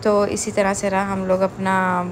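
A woman's voice: mid-range voice, completely flat delivery, measured pace, noisy background. A young woman, chatting steadily as she narrates her salon vlog, leaning on filler phrases. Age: young adult. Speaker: salon owner and beauty vlogger. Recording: clear room ambience behind.

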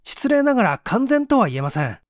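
A man's voice: very high-pitched, very animated, brisk, dry recording, clean studio sound, voice pushed up. A man playing a bold, earnest researcher who speaks urgently and persuasively in an audiobook mystery. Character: earnest, bold young male researcher-detective.

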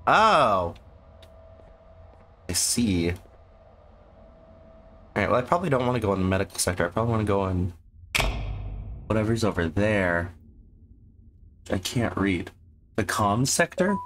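A sick, hoarse man casually commenting on a game he plays, rambling and sarcastic as he reads the game text aloud. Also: on the low side, lively intonation, a little slow, long pauses, a bit bright, slight background noise. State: sick, losing his voice. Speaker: gameplay commentator. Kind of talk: casual let's-play commentary.

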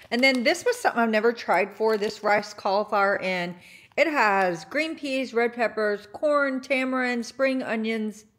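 Chatty young woman showing off groceries. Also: fairly high pitch. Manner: chatty, enthusiastic, lots of fillers like "like" and "um". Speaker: grocery haul vlogger. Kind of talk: casual grocery haul narration. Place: home kitchen counter.

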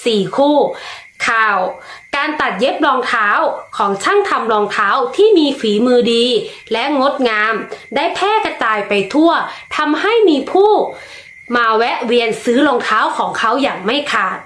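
Expressive woman reading a children's tale. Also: fairly high pitch, reverberant. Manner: slow, lilting, expressive storytelling. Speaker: storyteller.

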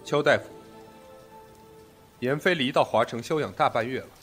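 An actor playing a proud, commanding sect master in a period audio drama, with imperious, formal speech. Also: male voice, medium pitch, moderate pace, fairly bright tone, soft background music, close to an everyday voice. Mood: cool, probing.

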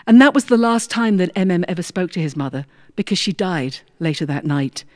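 A woman telling a personal story in an expressive way, with dramatic pauses and emphatic repetition. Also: fairly low pitch, dry recording, studio quality. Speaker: storyteller, former prison chaplain. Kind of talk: live-style personal storytelling with acted dialogue.

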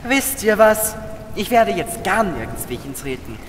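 A man's voice: high voice, very animated, measured pace. A man playing a cocky, sarcastic boy adventurer in a children's audio drama. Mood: matter-of-fact. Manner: sarcastic, know-it-all. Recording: long reverb, clear background noise.

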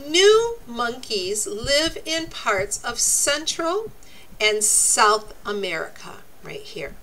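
Animated, high-voiced woman reading to children. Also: a little hiss or hum, rough recording. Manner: deliberate, expressive, teacherly phrasing. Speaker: reader of a children's nonfiction book. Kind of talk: read-aloud for young children with explanatory asides.

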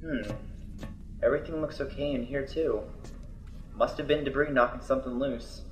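A man's voice: mid-range voice, moderate pace, close to an everyday voice. A man playing a grumbling, world-weary cargo ship pilot in an audio drama, talking casually. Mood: musing. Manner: casual grousing. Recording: dull, echoey sound with music.

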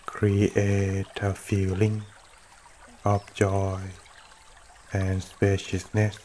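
A man leading a guided meditation as a teacher, speaking gently and unhurriedly in short phrases with long pauses, over running water. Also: low-pitched, monotone, slight background noise. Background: trickling stream water.

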